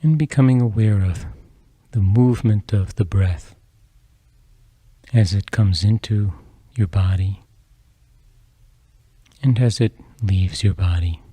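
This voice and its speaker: Very mellow, slow-paced male meditation guide. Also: on the low side, slightly rough, dry recording. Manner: unhurried, measured phrases with long pauses.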